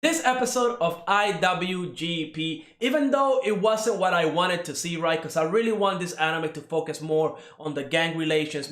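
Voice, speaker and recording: A young man from Cuba chats through an anime episode review in a casual, rambling way, leaning on fillers and tag questions. His voice sits a little high for a man. The room around him adds a noticeable echo. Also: a bit bright. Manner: rambling, with many fillers and "right?" tags.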